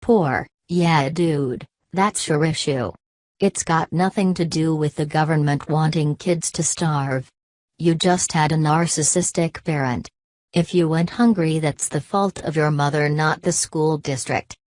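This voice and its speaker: A text-to-speech woman's voice reads online posts aloud in an even, mechanical way, often repeating the last word of a post. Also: low-pitched, unhurried, no echo. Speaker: narrator reading forum posts.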